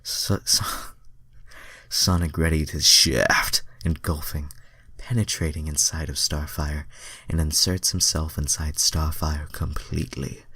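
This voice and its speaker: A man's voice: extremely low pitch, level tone, a little slow, pushed and bright, breathy edge, no room sound, clean studio sound. A man giving an amateur dramatic reading of fan fiction, breaking off for self-mocking asides.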